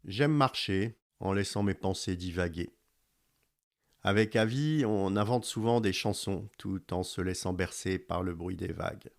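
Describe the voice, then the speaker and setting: An actor playing a laid-back, gentle father in an audio fiction monologue, speaking in a hesitant, dreamy way. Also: sits low, a little slow, vocal fry. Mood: gentle, relaxed. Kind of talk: intimate self-portrait monologue in audio fiction.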